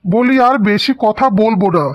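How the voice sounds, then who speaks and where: A man's voice: high voice, lightly acted. Man acting smug cartoon debt collector, muffled sound. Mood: smug menace. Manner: mock-polite, slow, threatening. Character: smug, menacing male debt-collecting agent.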